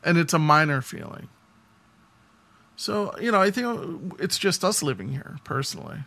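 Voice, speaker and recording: A man's voice: on the high side, big pitch swings, normal speed, creaky, pushed and bright. A man co-hosting a podcast, telling anecdotes in a casual, rambling conversational way. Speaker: podcast co-host.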